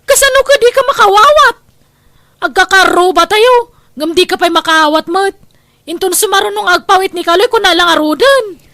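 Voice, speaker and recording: An actress playing a hard-up, combative older sister in an Ilocano radio drama, emotional and argumentative. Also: female voice, very high-pitched, animated, moderate pace, bright, forceful tone, dry recording, studio quality, full character voice. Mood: furious.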